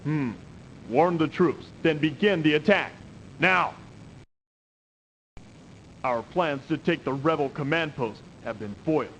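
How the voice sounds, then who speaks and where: Stern, commanding emperor at war. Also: male voice, unhurried, long pauses, a bit bright. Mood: commanding, determined. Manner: stern, declamatory. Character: proud commanding emperor at war with his brother. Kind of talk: video game cutscene acting. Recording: noisy 1990s CD game audio, rumble.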